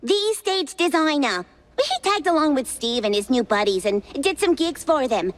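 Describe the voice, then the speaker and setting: A high, swooping female cartoon mouse, a flustered magician's assistant. She rambles along in a ditzy, scatterbrained way, as in an animated noir game. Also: normal speed. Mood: ditzy, chatty.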